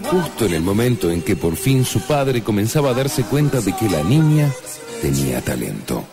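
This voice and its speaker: A man's voice: fairly low pitch, big pitch swings, moderate pace, over music. A man reading scripted narration for a radio soap.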